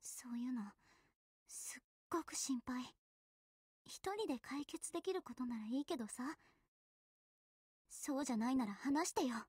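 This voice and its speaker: A woman's voice: high voice, normal speed, pauses often, a little mellow, husky, no room sound, clean studio sound. An actress playing a perceptive, caring teenage girl idol in a Japanese game, talking casually and directly with an earnest push. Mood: worried. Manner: casual, direct, emotionally pressing. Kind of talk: Japanese mobile game visual novel acting.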